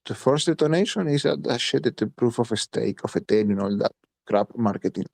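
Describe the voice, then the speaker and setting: A man's voice: medium pitch, lively intonation, fairly quick, creaky, a little mellow, no room sound. Italian guy in a voice chat, blunt, swears a lot, keeps repeating himself, dry close sound. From Italy. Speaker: voice chat participant. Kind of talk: casual crypto chat.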